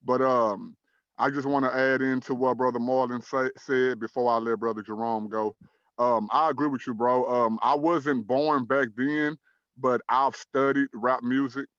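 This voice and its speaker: An earnest man in his thirties from Dallas, Texas, hosting an online talk show. He talks in a measured way with many "um"s, and his mid-pitched voice sounds dry and a little muffled. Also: fairly even intonation, fairly bright tone, dry recording. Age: 30s. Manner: measured, earnest, uses fillers like "um" and "uh". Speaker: host.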